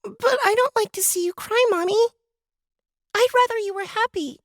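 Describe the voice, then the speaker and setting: Earnest little girl, very high-pitched. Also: moderate pace, dry recording, studio quality. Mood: earnest, uneasy. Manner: childlike, earnest. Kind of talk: audiobook drama acting.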